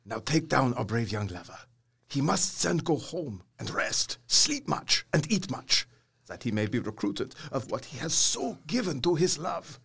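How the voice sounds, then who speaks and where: Old doctor in audio drama, husky, very animated pitch, fatherly but bossy, odd foreign wording. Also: heavily acted. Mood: firm, instructing. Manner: deliberate, emphatic, quaintly foreign phrasing.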